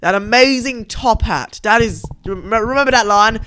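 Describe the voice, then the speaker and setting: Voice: mid-range voice, moderate pace, pushed and bright, clean tone. A young adult YouTuber giving casual reaction commentary on game cutscenes.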